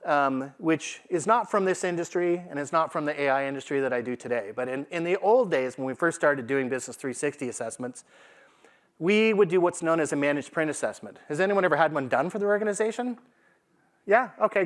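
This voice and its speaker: A man giving an informal business presentation to a live audience in a conversational, emphatic way, often checking in with rhetorical questions. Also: a little high. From Canada. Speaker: conference speaker, AI consultant.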